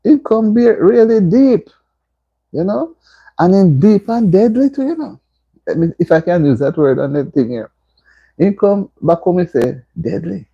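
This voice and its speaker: A woman's voice: fairly low pitch, lively intonation, a little slow, creaky, mellow, breathy edge, muffled recording. A woman hosting a casual livestream from home, laughing and teasing as she reads viewer comments aloud. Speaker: livestream host. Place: indoors at home.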